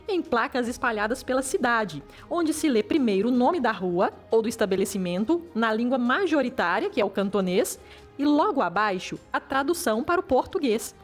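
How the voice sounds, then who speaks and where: A woman teacher reading a formal, measured educational narration, heard as played-back video audio. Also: on the high side. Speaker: language teacher.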